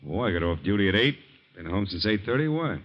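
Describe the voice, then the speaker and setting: An actor playing an indignant police officer in an old-time radio drama, on a muffled vintage broadcast. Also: male voice, lowish voice, sing-song, quick pace. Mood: drowsy, hospitable. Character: indignant police officer defending his brother-in-law, turning bitter.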